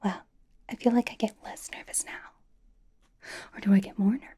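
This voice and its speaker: A woman playing a bubbly, nervous young K-pop idol in an ASMR roleplay, whispering softly in a chatty, rambling, reassuring way. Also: medium pitch, fairly even intonation, normal speed, lightly acted. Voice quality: soft, breathy whisper.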